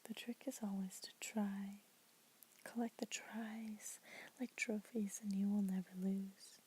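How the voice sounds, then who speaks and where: A woman's voice: medium pitch, fairly even intonation, takes it slowly, a little hiss or hum, poor audio. A young woman whispering throughout, reading poems aloud for an ASMR video. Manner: soft unvoiced whisper throughout; casual, chatty intro with fillers. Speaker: ASMR creator.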